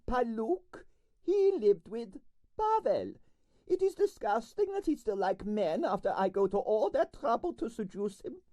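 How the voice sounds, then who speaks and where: Old russian prima ballerina, high shrill voice, bossy, wildly swoopy, broken english. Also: male voice, sing-song, measured pace, mellow, dry recording, exaggerated voice. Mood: indignant, candid. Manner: dramatic, emphatic, broken English. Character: theatrical older Russian ballerina, bossy and vain.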